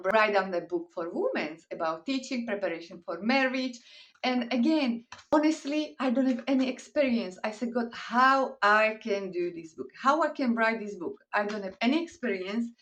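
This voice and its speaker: A woman's voice: on the high side, animated, moderate pace, fairly bright tone, recorded from a distance. A woman whose first language is Slovak, speaking English with a Slovak accent in an earnest, encouraging faith talk, using simple non-native grammar.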